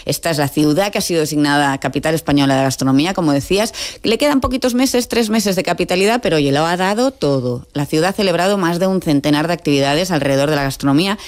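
A woman with an accent from Spain presenting in a lively, conversational style, as a contributor on a talk show. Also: deep voice, fast. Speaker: radio show contributor presenting food survey.

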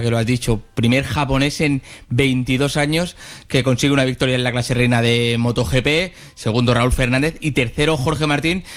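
A man giving a conversational live race report as a motorsport reporter. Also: mid-range voice.

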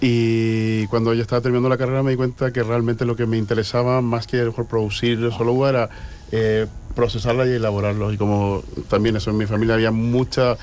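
A man, a winemaker guest telling his story calmly. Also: medium pitch, fast talker, slight background noise. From Chile.

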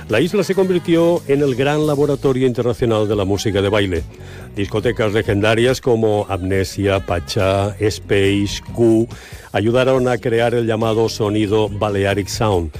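A man's voice: on the low side, a little mellow. Man, radio host, jokey interviewer, faint background music. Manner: smooth, teasing, jokey with guest. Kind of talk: scripted intro then chatty interview. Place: radio studio.

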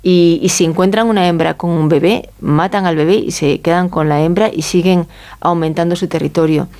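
A woman from Spain with a Castilian accent speaks in a soft-edged, mellow voice pitched a little low. She explains informally as an interview guest, in a conversational way, often ending phrases with a short checking question. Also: fairly even intonation, normal speed. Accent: Spanish from Spain. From Galicia, Spain. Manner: conversational, often seeks agreement with tag questions.

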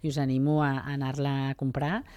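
A woman radio host, interviewing in a chatty way with halting restarts. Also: rumbling low, level tone, warm tone, no room sound.

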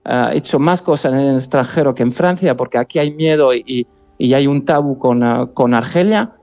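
A man speaking Spanish as a second language in a radio interview, earnest and halting, often repeating words. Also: mid-range voice, darkish tone. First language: not Spanish. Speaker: journalist, interview guest. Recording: dull lo-fi remote line.

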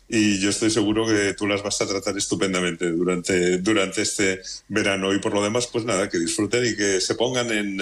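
A man, a travel journalist on a radio show, speaking in an easygoing way with some hesitant fillers. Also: fairly low pitch. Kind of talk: casual radio chat.

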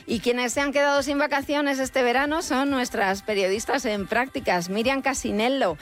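A woman's voice: sits high, moderate pace. A woman hosting a radio travel show, introducing guests in an upbeat, friendly way. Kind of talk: radio presenting.

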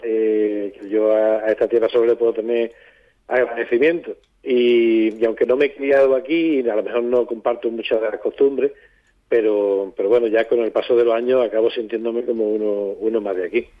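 A man, speaking reflectively as an interview guest, halting as he searches for words. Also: mid-range voice, fairly even intonation, lo-fi sound. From southern Spain. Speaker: poet and songwriter guest.

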